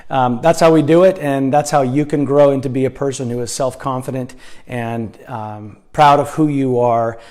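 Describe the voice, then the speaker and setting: Hoarse man, life coach vlog. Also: medium pitch, steady tone, normal speed, a little mellow. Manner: earnest, many fillers and restarts. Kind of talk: casual vlog monologue. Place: indoors, slightly echoey room.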